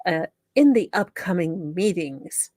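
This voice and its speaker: A woman's voice: lowish voice, lively intonation, unhurried, a little mellow, dry recording, clean studio sound. A woman hosting a comedy show, mixing theatrical, sarcastic dramatic reading with casual commentary. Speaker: show host.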